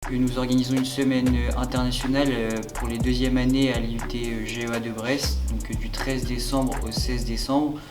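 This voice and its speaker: A young man from France speaks slowly in a very flat monotone, with many fillers. He sounds far from the microphone, and clear music plays behind him. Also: mid-range voice, reverberant. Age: young adult. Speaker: student. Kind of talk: student project presentation. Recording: distant mic with music.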